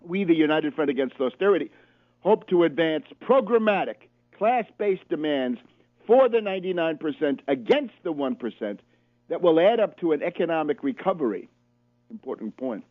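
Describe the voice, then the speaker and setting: A man hosting a political radio show, reading passages aloud and commenting on them emphatically, often pausing on fillers. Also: fairly high pitch, expressive, no echo. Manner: emphatic, reads quotes aloud and comments sharply; hesitant fillers between points. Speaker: radio host. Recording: tinny phone-line sound, very dry.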